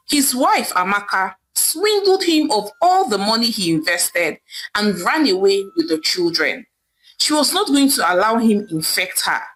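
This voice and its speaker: A woman narrating a scripted folktale in an expressive, dramatic storytelling manner, with emphatic pauses. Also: on the high side, poor audio.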